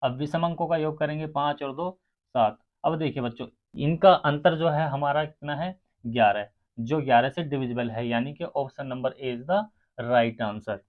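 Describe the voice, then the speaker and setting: A man's voice: medium pitch, normal speed. A man teaching maths step by step, addressing his students directly and counting sums out aloud. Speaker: maths teacher for exam aspirants. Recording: muffled, phone-like narrow sound.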